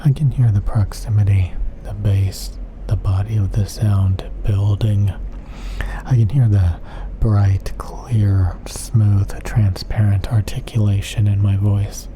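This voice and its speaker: A man talking straight into a close studio mic in a quiet home recording room. His talk is emphatic and repetitive, with long strings of adjectives and some stretches dropping into a whisper. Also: on the low side, fairly even intonation, takes it slowly, background noise. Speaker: audio gear reviewer. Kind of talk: casual spoken monologue. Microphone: close-up studio condenser mic.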